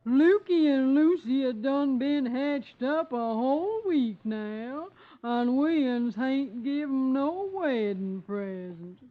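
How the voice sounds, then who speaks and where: Hillbilly comic-strip aunt: rural Southern accent, unhurried folksy drawl, thin phone-like vintage radio sound. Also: female voice, high voice, expressive. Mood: concerned. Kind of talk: 1950s radio comic acting. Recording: muffled, phone-like old radio recording.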